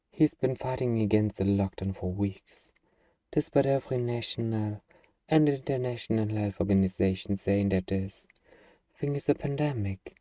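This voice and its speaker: Slow, very dark-voiced man acting a young survivor. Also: medium pitch, dry recording, recorded from a distance, natural voice. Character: gruff, defensive young male zombie-apocalypse survivor, anxious underneath. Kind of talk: ASMR roleplay acting.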